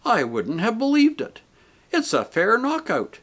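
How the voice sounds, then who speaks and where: A man's voice: high-pitched, wildly swooping pitch, unhurried, a bit bright, pitched above the performer's own voice, exaggerated voice. A man voicing a coarse, self-righteous male ghost who talks in blunt, indignant working-man speech.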